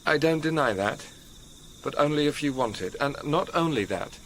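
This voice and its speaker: A man's voice: fairly high pitch, expressive, moderate pace, a bit bright, slight background noise. An actor playing a wary, frustrated young man in a naturalistic radio drama, speaking tersely and earnestly.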